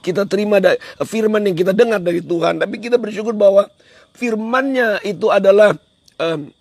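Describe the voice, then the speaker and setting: A man with a hoarse voice, preaching a devotional in an emphatic, repetitive way. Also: high-pitched, fairly quick, a little mellow, no echo. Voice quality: hoarse, husky. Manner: emphatic, repeats phrases for effect. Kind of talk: devotional sermon on a livestream, switching into English at times.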